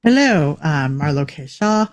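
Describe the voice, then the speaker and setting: A woman giving a casual self-introduction as an actor, hesitant and pausing often. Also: low-pitched, sing-song. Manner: hesitant, full of pauses. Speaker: actor at a table read.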